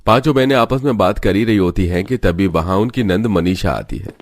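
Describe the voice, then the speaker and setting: A man's voice: on the low side, animated, a little fast. A man narrating a scripted cartoon family story as the storyteller.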